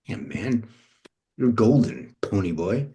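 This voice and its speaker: A man's voice: on the low side, very animated, takes it slowly, dark tone. An actor reading the part of a laid-back, wounded, affectionate man who talks in loose, hesitant, sweary phrases at a script table read. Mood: wistful, affectionate.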